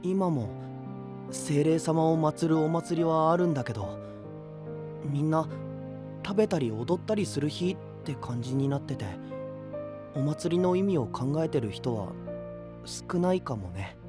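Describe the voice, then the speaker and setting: 海の国を守る若い青年を演じる男性声優。柔らかく内省的で、真摯な話し方。. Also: male voice, a little high, lively intonation, unhurried, pauses often, over music. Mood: pensive. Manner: soft, introspective, earnest. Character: gentle young male prince of a sea kingdom. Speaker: voice actor. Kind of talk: anime voice drama.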